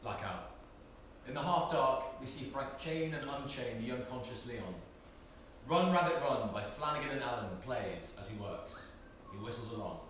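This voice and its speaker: A man's voice: mid-range voice, expressive, moderate pace, fairly bright tone. A man giving scripted narration, reading out the stage directions of a play. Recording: echoey room, distant mic, faint hiss.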